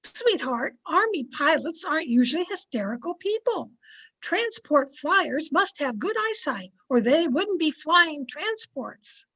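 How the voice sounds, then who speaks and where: A patient, affectionate wife from a 1940s-style radio comedy, acted by a woman. Her voice sits very high, and she reasons gently and sensibly, softening corrections with endearments. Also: sing-song, measured pace, full character voice, low-quality recording. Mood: gently reasoning.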